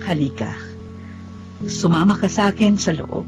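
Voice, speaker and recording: An actress playing a gentle, patient orphanage nun who speaks soothingly in a Filipino radio drama. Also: female voice, mid-range voice, moderate pace, natural voice. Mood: consoling. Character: gentle, consoling orphanage nun, adult woman. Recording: dull lo-fi audio under loud music.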